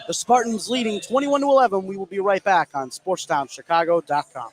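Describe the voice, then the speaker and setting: A man calling live high school basketball on the radio as play-by-play commentator, getting excited on big plays. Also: brisk. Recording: gym noise behind the voice. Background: gym ambience, bouncing basketball.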